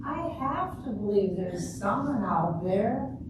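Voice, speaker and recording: A woman's voice: mid-range voice, very animated, slow pace. An older woman playing a wistful, hopeful Juliet in an amateur stage reading, speaking earnestly. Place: echoey room at a retirement community event. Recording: very echoey room, distant mic, hissy noise.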